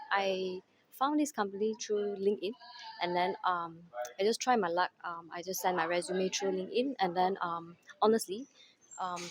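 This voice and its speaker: A woman from Malaysia answering interview questions at an office, pausing often with "um". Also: on the low side. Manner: hesitant, many "um"s. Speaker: interviewee, quality assurance.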